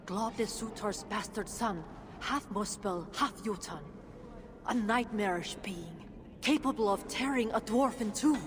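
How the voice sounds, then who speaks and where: A woman's voice: mid-range voice, measured pace, natural voice. A weary, embittered dwarf leader, played by a woman, speaks from a long, echoing hall with noise behind her. She is guarded and sardonic, with the solemn delivery of a dramatic fantasy game. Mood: grim. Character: weary, bitter female dwarf leader of a refugee shelter. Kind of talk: dramatic video game voice acting. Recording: long reverberant hall, noisy ambience.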